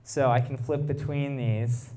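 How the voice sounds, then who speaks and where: A man's voice: medium pitch, fairly even intonation, unhurried, mellow. A man giving a technical talk to a live audience, explaining things informally and putting questions to his listeners. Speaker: presenter, researcher.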